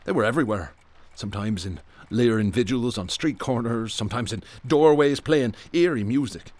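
A man's voice: mid-range voice, lively intonation, moderate pace, darkish tone, close to an everyday voice. A man playing a brooding, bookish Irish police detective who speaks in ornate, literary phrases in a radio drama. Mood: haunted.

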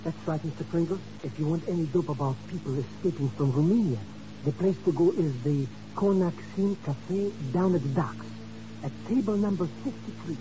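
A male actor playing a nervous, money-minded informant sidekick in a 1950s radio drama, stammering and excitable. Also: on the high side, normal speed, music in the background, close to an everyday voice. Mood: eager. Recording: noisy, dull old radio recording.

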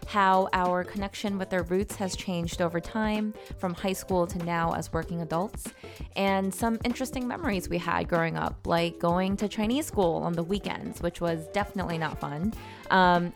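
A woman hosts a podcast and opens the episode with a scripted introduction before moving into a conversational interview. Her voice is a bit low, and she asks her questions with clear curiosity. Faint music plays under her. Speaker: podcast host.

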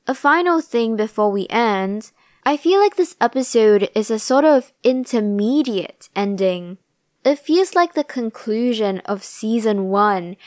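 A woman with a somewhat high, slightly raspy voice talks through a drama episode in a relaxed, reflective way. She sounds tired, as if it is late at night. The recording is close and dry, with no room sound. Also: no echo. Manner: thoughtful, musing. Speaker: podcast host. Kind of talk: casual drama recap.

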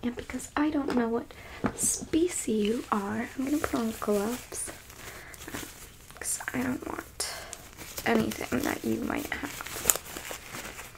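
ASMR roleplay alien captor, female, played by a woman: high-ish, unhurried, whispery, smug and teasing. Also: rough recording. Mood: clinical, soft. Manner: condescending, teasing, breathy half-whispered delivery. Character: smug, teasing female alien abductor examining a captured specimen.

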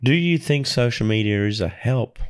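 A man co-hosting a podcast, joking and quick, his voice croaky today. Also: mid-range voice, lively intonation. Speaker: podcast co-host. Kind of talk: casual podcast quiz questions.

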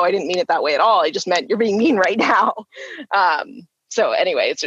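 Low, husky woman on a dull mic. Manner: earnest, frank, laughs between thoughts. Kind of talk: casual personal storytelling.

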